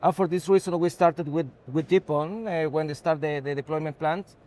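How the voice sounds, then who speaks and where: A man with a Chilean Spanish accent and a fairly high voice speaks as an executive being interviewed. His English is a second language, with halting, non-native phrasing as he works through unscripted answers. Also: a little mellow.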